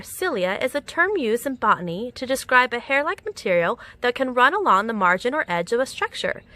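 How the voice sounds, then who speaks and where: A woman reading a children's picture book aloud in an expressive, animated storytelling manner with clear enunciation. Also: a little high. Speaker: storytime reader. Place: outdoors among plants.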